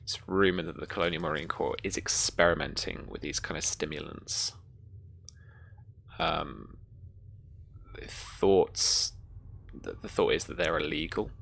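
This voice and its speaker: A game master narrating a live tabletop horror game in an improvised, halting way, pausing to search for words. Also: extremely low pitch, creaky, fairly bright tone, slight background noise.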